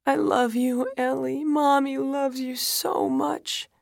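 A woman's voice: fairly low pitch, slow, no room sound, studio quality. A woman playing a distraught mother and wife, pleading urgently, in dramatic storytelling. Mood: tearful, reassuring. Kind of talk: dramatic audiobook-style storytelling.

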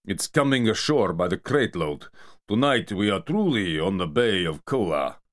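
A man voicing a burly, bear-like dockside mafia man who speaks calmly and unhurriedly. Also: low voice, moderate pace.

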